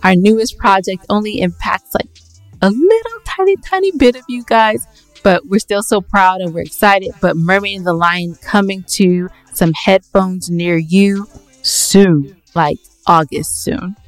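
A woman co-hosting a casual podcast, speaking in an emphatic, opinionated way and quick to interject. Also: mid-range voice, faint music behind. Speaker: podcast co-host. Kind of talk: casual podcast debate with co-host.

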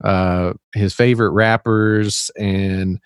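A man's voice: on the low side, slow pace, smooth, dry recording, clean studio sound. A man from Tennessee with a light Southern US accent, chatting as a podcast guest in a loose, rambling way full of fillers. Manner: rambling, relaxed, many fillers. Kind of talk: casual podcast conversation. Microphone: remote call.

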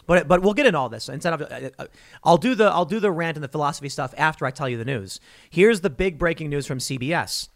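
A man's voice: on the high side, animated, quick pace. A man hosting a political commentary show, talking off the cuff in a rambling, argumentative way and repeating words in bursts as he makes his points. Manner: rambling and argumentative, voicing imagined exchanges. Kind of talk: unscripted political commentary monologue.

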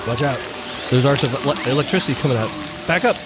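An actor playing a nerdy, practical, enthusiastic gadget lover in an audio drama. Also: male voice, mid-range voice, quick pace, lightly acted, rough recording. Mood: alarmed.

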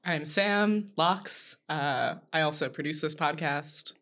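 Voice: medium pitch, slow pace, sharp tone. A podcast co-host chatting casually with short, informal interjections. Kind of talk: casual chat, brief interjections.

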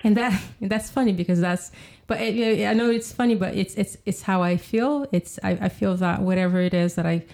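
A woman from Brazil, a podcast guest chatting casually, laughing often and filling pauses with "um". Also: mid-range voice, vocal fry, darkish tone. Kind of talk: casual chat. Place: home in London.

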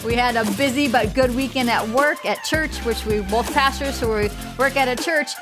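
A woman's voice: fairly high pitch, normal speed, music in the background. Middle-aged woman, chatty podcast co-host. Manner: chatty, informal storytelling. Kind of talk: casual conversational podcast.

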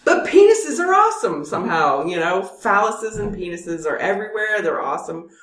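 A woman guest in casual chat, animated and quick to laugh. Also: mid-range voice, distant mic. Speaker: guest, regular listener. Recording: echoey, set back from the mic.

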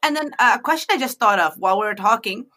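A woman's voice: a little high, expressive, fast, pushed and bright. A woman hosting a two-person discussion, giving informal, emphatic advice in a conversational way.

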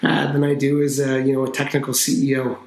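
A man in his thirties from Canada, a company founder talking about his life in a casual podcast interview, relaxed and chatty with lots of fillers. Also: medium pitch, quick pace. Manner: relaxed, talkative, with frequent fillers and restarts. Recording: roomy echo.

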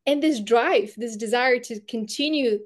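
A woman's voice: fairly high pitch, a little slow. A woman from Bulgaria speaking English, a podcast guest earnestly reflecting on her childhood and schooling. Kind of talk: reflective storytelling.

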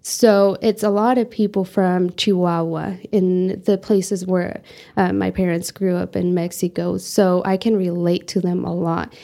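A woman's voice: on the low side, flat delivery, measured pace, creaky, dark tone. A young woman from the United States answering interview questions in an earnest, reflective way, with many fillers. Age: young adult. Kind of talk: oral history interview.

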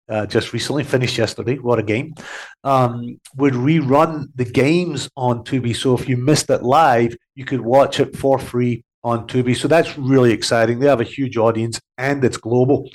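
A man's voice: mid-range voice, a little mellow. A man with a head cold and a congested voice, chatting as a radio guest in a rambling way with many fillers. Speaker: TV series host as guest. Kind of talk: casual radio interview chat.

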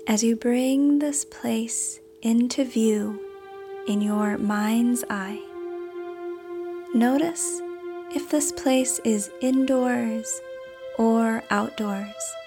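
A woman reading a script as a meditation guide, with a soft, deliberate, instructional delivery. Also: on the high side. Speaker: meditation guide and podcast host. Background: background music.

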